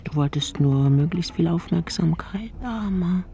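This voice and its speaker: A frail old woman, an eccentric mother in a radio drama. Her voice is creaky and soft-edged, at a middling pitch. She sounds imperious and lost in memory, with faint music around her. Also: lively intonation. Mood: dreamy, nostalgic. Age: older. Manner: slurred, drowsy, halting recollection. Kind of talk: radio play dialogue.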